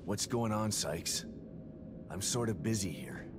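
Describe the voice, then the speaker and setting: Low-voiced, gruff, weary hero. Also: male voice, animated, unhurried, background noise. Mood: terse. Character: gruff, weary male protagonist, a determined father. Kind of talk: video game cutscene acting.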